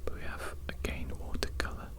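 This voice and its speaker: A woman voicing a taunting, condescending evil doctor in a breathy, unvoiced whisper for an ASMR roleplay, deliberate and fond of repeating phrases. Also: lightly acted. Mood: teasing, hushed. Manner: slow, deliberate, repeats phrases, mock-scornful.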